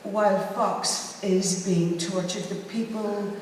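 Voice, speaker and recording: A woman speaking as an actor on a panel, thoughtful and halting as she searches for words in an informal talk to an audience. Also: medium pitch, steady tone, a little mellow. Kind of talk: informal talk to an audience about a theatre project. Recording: echoey hall, distant mic, faint noise.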